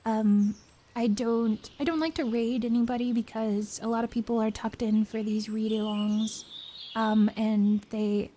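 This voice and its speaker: A young woman streamer with a hoarse, worn-out voice, chatting casually in a rambling way after reading a book aloud. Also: a little high, little pitch movement, measured pace, warm tone. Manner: chatty and rambling, with many fillers and giggles.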